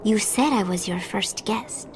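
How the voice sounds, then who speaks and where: A woman playing a guarded, obedient young female secret agent in an audio drama, hesitant and measured. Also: mid-range voice, slight background noise, close to an everyday voice. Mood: guarded, dutiful.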